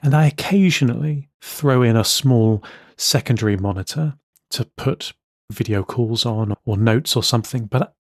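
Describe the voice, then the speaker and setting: A man's voice: medium pitch, moderate pace, no room sound, studio quality. A man co-hosting a podcast in casual tech chat, opinionated and emphatic, often repeating words for stress. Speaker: podcast co-host.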